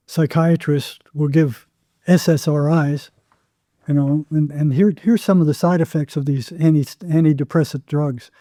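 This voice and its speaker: A man's voice: a little high, dark tone, dry recording, studio quality. A man speaking as an interview guest, telling anecdotes and explaining with emphatic, repeated phrasing. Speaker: psychotherapist, podcast guest.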